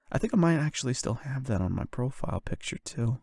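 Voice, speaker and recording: A man performing an intimate confession roleplay as a nervous, lovestruck young man, speaking in a halting, self-doubting, conversational way into a close microphone. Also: medium pitch, a little mellow, slightly rough, dry recording. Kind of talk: emotional confession roleplay, ASMR-style monologue.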